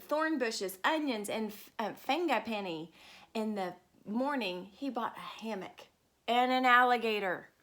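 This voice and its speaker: A woman reading a picture book aloud to children, expressive and stopping to ask her listeners questions. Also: mid-range voice. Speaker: storyteller.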